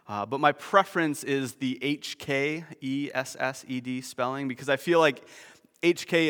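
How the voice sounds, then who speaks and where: Male pastor, mid-pitch, sermon delivery with self-mocking humor, church hall echo. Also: fairly bright tone. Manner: self-deprecating, nerdy asides.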